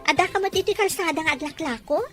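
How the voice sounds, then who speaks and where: A woman's voice: extremely high pitch, quick pace, over music. A woman playing a young village fish seller, a dutiful and plain-spoken daughter in a radio drama. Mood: earnest.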